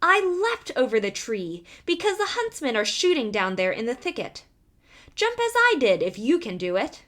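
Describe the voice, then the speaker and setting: A woman's voice: high voice, big pitch swings, normal speed, a bit bright. A woman voicing a cocky, cunning and cheerful little man, a boastful tailor in a storybook reading. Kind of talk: storybook character voice.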